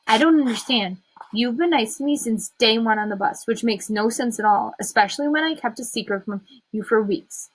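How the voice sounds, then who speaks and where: A woman plays a brainy misfit schoolgirl in a children's audiobook. She is guarded and hurt, speaking bluntly in a high, husky voice. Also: moderate pace, poor audio. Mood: puzzled, wary.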